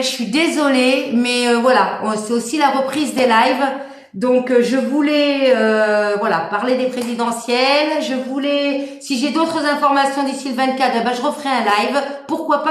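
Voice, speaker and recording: Woman, high voice, live-stream host, rambling and warm, echoey room. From France. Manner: warm, rambling, many fillers and restarts. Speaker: medium hosting a live stream. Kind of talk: casual live-stream chat.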